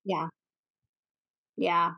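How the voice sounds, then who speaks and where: A woman's voice: on the low side, little pitch movement, extremely slow, creaky, lo-fi sound. A woman hosting a podcast, chatting enthusiastically with a guest and laughing often. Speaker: podcast host. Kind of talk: casual podcast interview.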